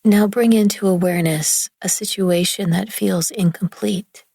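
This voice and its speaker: A woman leading a guided relaxation meditation in a gentle, soothing, hushed manner with long pauses between phrases. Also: mid-range voice, fairly even intonation, vocal fry, breathy edge, no echo, studio quality. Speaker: yoga nidra meditation guide.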